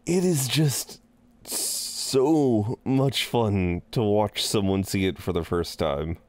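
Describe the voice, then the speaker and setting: A man playing an amused, seductive incubus in an intimate roleplay audio drama, teasing and soothing in an unhurried, halting way. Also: fairly low pitch, darkish tone, no room sound. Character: amused, reassuring, seductive male incubus innkeeper.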